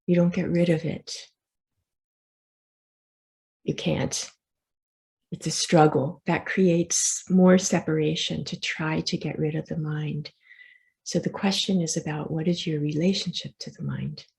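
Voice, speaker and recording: Woman, meditation teacher, creaky, dark mellow tone, soft reassuring delivery, unhurried guided instruction. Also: sits low, fairly even intonation. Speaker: meditation teacher leading a session.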